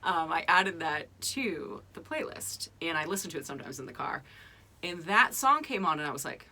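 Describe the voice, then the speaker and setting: A woman's voice: fairly low pitch, lively intonation, normal speed, sharp tone. A woman in her thirties from New England, hosting a podcast in casual chat, talking with lots of fillers and laughs. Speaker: podcast host.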